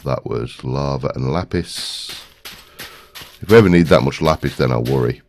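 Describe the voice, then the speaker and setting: Very deep gravelly man with a cold. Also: normal speed, a little mellow, slight background noise. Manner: rambling, talks to himself while searching, many ums. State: stuffy with a head cold. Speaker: gaming video host. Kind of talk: casual gameplay commentary.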